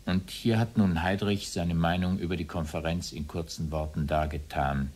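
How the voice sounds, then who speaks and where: A man giving formal testimony from memory, speaking deliberately in stiff, bureaucratic phrases. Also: low voice, fairly even intonation, a little mellow. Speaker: witness under questioning.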